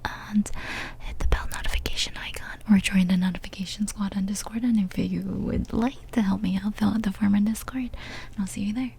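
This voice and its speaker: A woman ASMR creator whispering a sign-off to her viewers in a breathy voice. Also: medium pitch, steady tone, a little fast, darkish tone, a little hiss or hum.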